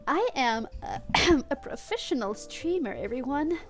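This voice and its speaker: A woman's voice: high voice, animated, a little slow, soft background music. A woman livestreaming a read-aloud of fan fiction on a headset, playful and mock-dramatic, with amused asides. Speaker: streamer and host.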